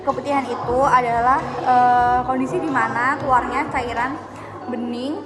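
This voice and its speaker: High-voiced young woman student explaining. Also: fairly even intonation. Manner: friendly, quick, explaining with fillers. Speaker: pharmacy student educator. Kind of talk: casual health outreach chat. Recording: phone video with crowd noise.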